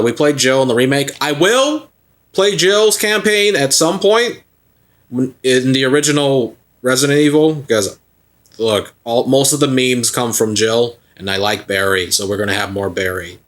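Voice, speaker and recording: A man's voice: medium pitch, lively intonation, a little slow, a bit bright. A young man in his twenties co-hosting a gameplay video, chatting casually with laughs and rambling restarts. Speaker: let's play co-host.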